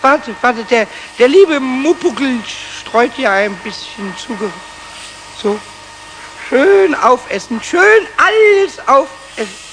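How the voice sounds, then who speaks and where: A man voicing a cheeky, childlike little kobold in a 1970s children's radio play, squeaky and stammering in excited repetitions. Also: slow, slight background noise, heavily acted, rough recording. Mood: tipsy, giddy. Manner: stammering, repeats words excitedly.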